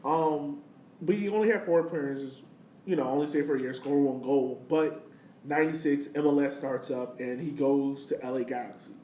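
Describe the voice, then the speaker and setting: A man's voice: medium pitch, moderate pace, dark tone. A man of thirty hosting a podcast, talking sport in a casual, slangy and enthusiastic way. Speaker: podcast host. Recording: roomy, distant mic, faint noise.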